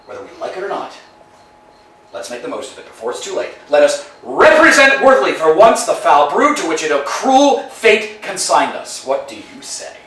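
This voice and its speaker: A man's voice: a little high, very animated, moderate pace, fairly bright tone, a little hiss or hum. An actor playing a restless, philosophizing male tramp who talks in wordy, musing speeches that swing between elation and gloom, in a staged play reading. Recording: roomy echo, voice far from mic.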